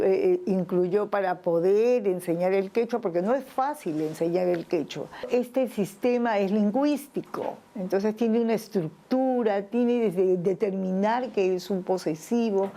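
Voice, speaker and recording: A woman's voice: medium pitch, measured pace, few pauses, dark tone. A woman who teaches Quechua, answering interview questions in a reflective, explanatory way with hesitant restarts. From Peru. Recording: light music underneath.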